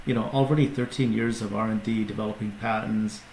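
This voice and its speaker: A man's voice: fairly low pitch, steady tone, fairly quick, darkish tone, slight background noise. A man, a company CEO, talking casually as a podcast guest, explaining at length with restarts and fillers. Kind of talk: casual interview talk. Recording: echoey room with faint ambience.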